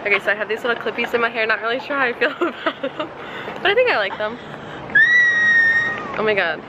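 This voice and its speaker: A woman's voice: on the high side, expressive, fast, lo-fi sound, a loud crowd. Giggly teen girl vlogging on a noisy street. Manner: bubbly, giggly, lots of "like". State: slightly hoarse. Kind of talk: casual vlog chat. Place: outdoors on city streets. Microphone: handheld camera at arm's length. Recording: loud street and traffic noise.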